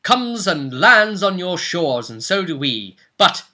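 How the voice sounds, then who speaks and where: A man's voice: sits high, sing-song, takes it slowly, bright, forceful tone. A man hosting a casual, playful tabletop role-play recap in a jokey, hammy way. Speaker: host and game master recapping a tabletop role-play story. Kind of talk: casual, jokey story recap for a video audience.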